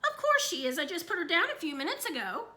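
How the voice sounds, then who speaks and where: A woman's voice: extremely high pitch, very animated, fast talker, sharp tone, voice pushed up, exaggerated voice. A woman doing a fretful scolding mother. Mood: worried. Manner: worried, scolding. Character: anxious, stern young mother.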